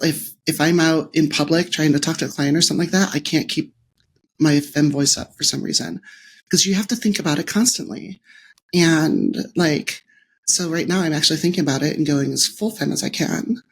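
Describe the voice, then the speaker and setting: Husky-voiced woman hosting a podcast. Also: low voice, moderate pace. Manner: relaxed, thoughtful, uses many fillers. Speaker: podcast host. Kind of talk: casual interview chat.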